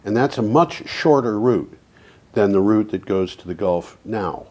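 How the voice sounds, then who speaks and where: A man with a fairly low voice co-hosts a community TV chat about energy. He rambles through his stories, restarts sentences and lets them trail off quietly. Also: expressive, unhurried. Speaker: co-host of an energy talk show. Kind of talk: casual studio chat.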